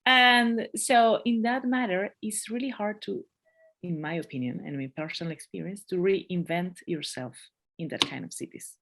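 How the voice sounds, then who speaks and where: A woman in her thirties from Peru, speaking English with a thick Peruvian Spanish accent in a relaxed interview chat, laughing often and restarting her sentences. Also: fairly low pitch, normal speed. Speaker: podcast guest.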